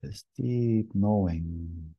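A man leading a guided meditation as a teacher, speaking gently in short phrases with long pauses. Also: sits low, vocal fry, breathy edge, no echo, highs cut off.

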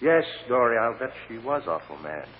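An actor playing a persistent, impassioned American defense lawyer, with probing, theatrical rhetoric in a vintage radio drama. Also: male voice, mid-range voice, big pitch swings, moderate pace, lightly acted. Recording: tinny old radio recording, long reverb, hiss.

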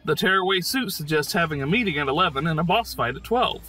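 A man's voice: a little high, lively intonation, fast talker, over loud music, low-quality recording. A man narrating enthusiastic scripted commentary on a video game, with an emphatic, informal, fannish delivery. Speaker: host narrator of a video game praise series.

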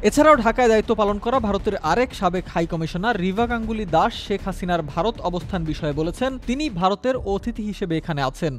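A man with a Bangladeshi accent narrating a scripted news report in a formal, measured manner. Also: high voice, expressive. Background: background music.

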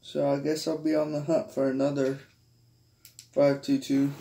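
A man's voice: mid-range voice, level tone, moderate pace, creaky, dark tone, slightly rough. A man chatting casually to viewers in a rambling, hesitant way, often trailing off, recorded on a handheld device in a bedroom. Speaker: hobbyist vlogger showing a collection. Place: small carpeted bedroom, indoors. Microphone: handheld phone or camera held by the speaker.

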